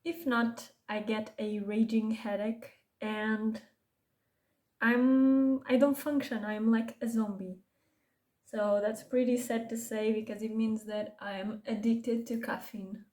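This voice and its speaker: A woman's voice: on the high side, little pitch movement, slow, a little mellow. A young Portuguese woman with a Portuguese accent, for whom English is a second language, chatting casually in a makeup vlog with rambling asides and self-corrections. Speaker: beauty vlogger. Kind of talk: chatty get-ready-with-me makeup talk.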